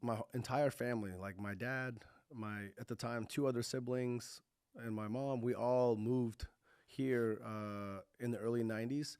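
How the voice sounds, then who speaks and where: A man from Kazakhstan who grew up partly in Texas and is not a native English speaker, talking casually as an interview guest in a halting, self-correcting way. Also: medium pitch, steady tone, a little mellow, smooth, no room sound, clean studio sound. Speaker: podcast guest, tech entrepreneur.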